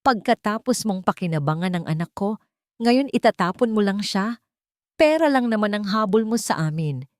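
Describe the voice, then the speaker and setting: A woman's voice: medium pitch, expressive, moderate pace, darkish tone, no echo, pitched above the performer's own voice, lightly acted. Woman acting domineering mother-in-law, furious, accusing, spiteful, Filipino accent, melodramatic storybook villain, dry recording. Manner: accusing, outraged.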